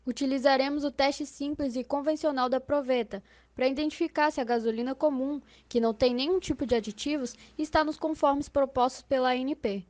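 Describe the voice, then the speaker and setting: A woman's voice: sits high, steady tone, a little fast, hardly any pauses, darkish tone, close to an everyday voice. A woman with a Brazilian accent voicing a patient female inspector who explains step by step in a scripted educational cartoon. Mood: explanatory.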